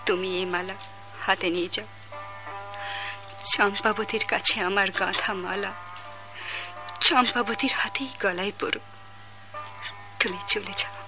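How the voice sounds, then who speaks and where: An actress playing a proud, lovestruck young princess, speaking lyrically and formally in a Bangladeshi accent, in old-fashioned radio drama style. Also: female voice, medium pitch, steady tone, a little slow, long pauses, sharp tone, faint music behind. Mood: heartbroken. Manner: lyrical, formal, theatrical delivery.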